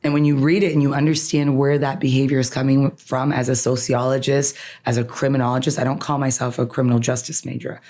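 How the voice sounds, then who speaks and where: A woman's voice: very deep voice, flat delivery, fast, few pauses, warm tone. A woman attorney who grew up in Canada with Farsi as her first language, speaking earnestly and emphatically as a podcast guest. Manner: earnest, emphatic, often ends points with "right?". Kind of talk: podcast interview, personal reflection.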